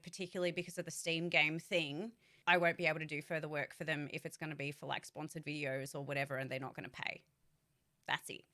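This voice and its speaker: A woman voice actor talking straight to camera in a casual vlog. Her voice sits low in pitch but comes across bright and forceful. She is blunt and swears freely, and her delivery rambles with fillers and restarts. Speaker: voice actor giving career advice.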